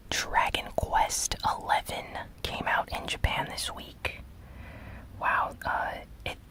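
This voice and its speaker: ASMR news host needed: fully whispered, breathy, very slow and deliberate. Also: low-quality recording. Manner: slow, soft, deliberate delivery with pauses. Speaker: host of a gaming news show.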